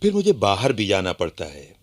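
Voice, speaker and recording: An actor playing a proud, earnest underground activist, explaining things animatedly in radio play dialogue. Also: male voice, on the low side, huge pitch swings, normal speed. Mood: confiding, proud.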